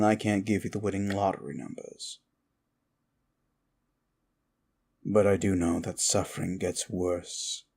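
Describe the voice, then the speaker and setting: A man's voice: deep voice, a little mellow, full character voice. A man voicing a sardonic, seductive demon of desire in a comfort ASMR roleplay, teasing and musing without hurry. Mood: sardonic, weary.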